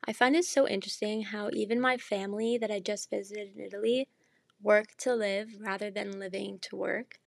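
A young woman hosting her own casual podcast, chatty and enthusiastic, jet-lagged and slightly hoarse as she loses her voice. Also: medium pitch, fairly even intonation, moderate pace, no room sound. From Canada. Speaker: podcast host. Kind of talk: casual solo podcast monologue.

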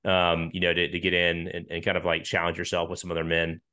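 A man's voice: sits low, completely flat delivery, fast talker, pushed and bright, clear, resonant tone, muffled recording. A man in his thirties hosting a podcast, chatting casually and informally as he interviews a guest. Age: 30s. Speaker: podcast host.

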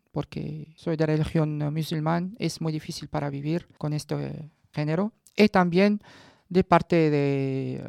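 A woman in her thirties with a Moroccan accent gives a personal testimony, haltingly searching for words in a language that is not her first. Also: deep voice, creaky, a little mellow, no room sound, studio quality. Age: 30s. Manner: halting, searching for words in non-native Spanish. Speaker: radio guest giving a personal testimony.

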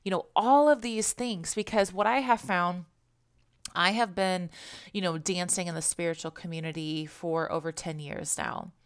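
A woman's voice: lowish voice, normal speed, clear, resonant tone. Slightly congested woman podcast host. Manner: gentle, reflective, repeats words and asks herself questions. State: congested, slightly hoarse from allergies. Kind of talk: casual spiritual podcast monologue.

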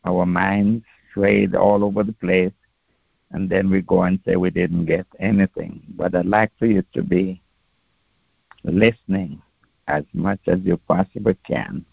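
A male senior pastor teaches the Bible very slowly and deliberately, in a measured, instructive way. His voice is fairly low with a lot of gravelly creak. The sound is thin and narrow, like a phone line. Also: steady tone, no echo. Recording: tinny phone-like dry audio.

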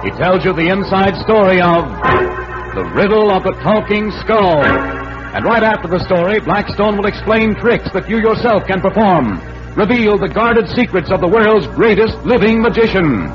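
High-pitched male old-radio announcer over music. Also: normal speed. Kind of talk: 1940s radio show announcing, dramatic scripted. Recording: dull old radio recording. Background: loud music.

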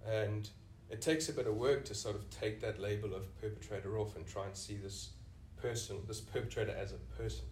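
A South African man speaks in a lowish voice, heard from a little way off the microphone in an echoey room. He answers interview questions thoughtfully, often circling back and restarting his sentences as he works through each point. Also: fairly even intonation, brisk, few pauses, darkish tone, recorded from a distance, slight background noise. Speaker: senior clinician, podcast guest.

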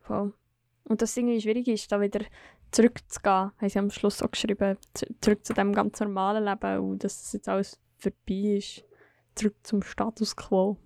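A woman's voice: mid-range voice, level tone, a little fast, vocal fry, a little mellow, no room sound, studio quality. A woman co-hosting a podcast, talking casually and thinking aloud as she goes. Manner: conversational, agreeing, thinking aloud. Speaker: podcast co-host. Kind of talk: casual discussion.

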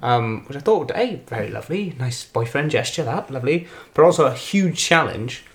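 A man's voice: medium pitch, expressive, normal speed. A man chatting casually in a playful, rambling way. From Wales. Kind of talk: casual chat.

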